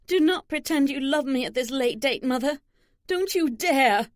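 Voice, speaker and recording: A woman's voice: high-pitched, fairly even intonation, normal speed, darkish tone, no echo, studio quality, voice pushed up, close to an everyday voice. A woman playing a wounded, defiant young bride. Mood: angry. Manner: emotional, defiant, snapping. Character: hurt, angry young jilted bride, proud daughter.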